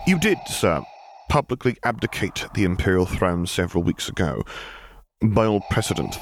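Polite male admiral for an audiobook, acted by a male narrator: anxious yet defiant, slight noise behind. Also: low-pitched. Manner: deferential, cautiously defiant. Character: nervous but stubborn, polite male naval admiral.

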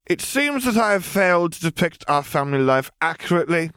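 A coolly unflappable young girl acted by a man, raised in pitch above the reader's normal voice. She talks in a bored, matter-of-fact, oddly formal way, recorded dry for a storybook. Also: big pitch swings, a little fast, fairly bright tone, clean tone, dry recording. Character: odd nine-year-old girl, bored, coolly unflappable.

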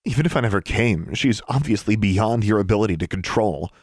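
A man's voice: lowish voice, lively intonation, a little fast, a little mellow, no echo, clean studio sound, pitched above the performer's own voice. A man voicing a guarded, blunt elder sister in a story, with terse, cutting delivery. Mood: challenging.

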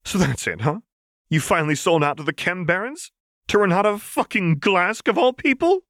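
A man's voice: high-pitched, lively intonation, moderate pace, fairly bright tone, no echo, clean studio sound, voice pushed up. A man voicing a blunt, defiant young fighter woman in an audiobook, bitter and sarcastic. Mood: angry, spitting. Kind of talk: audiobook character dialogue.

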